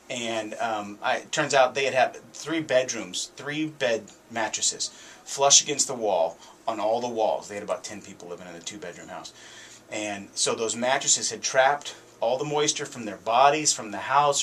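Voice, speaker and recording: A middle-aged American man with a fairly low voice talks casually as a radio host. He tells stories conversationally and leans on repetition for emphasis. There is some noise behind him. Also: fairly bright tone. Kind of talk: casual radio talk, storytelling. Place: small foam-treated radio studio, indoors. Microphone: studio microphone on boom arm.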